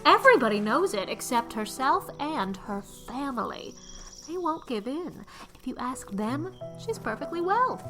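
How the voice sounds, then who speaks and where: An older village busybody, scornful and emphatic, pitched on the high side. She pronounces her opinions bluntly in audiobook drama style, with music clearly playing underneath. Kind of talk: dramatized audiobook character acting.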